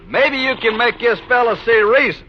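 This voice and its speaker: Desperate American trail boss. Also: male voice, very high-pitched, normal speed, a little hiss or hum. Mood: desperate, angry. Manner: blunt, aggrieved, defiant. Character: desperate, proud trail boss driving a cattle herd. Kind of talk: 1950s Western radio drama acting. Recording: muffled 1950s radio recording.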